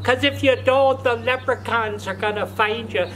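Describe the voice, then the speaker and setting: A woman hosting a comic monologue, gossiping in a pious, scolding way and dropping low for asides. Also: moderate pace, fairly bright tone, a little hiss or hum. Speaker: comedy show host.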